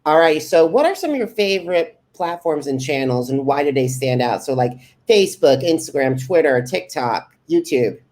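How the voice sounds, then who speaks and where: A man hosting a podcast interview, asking prepared questions in a deliberate way. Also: a little high, lively intonation, a little mellow.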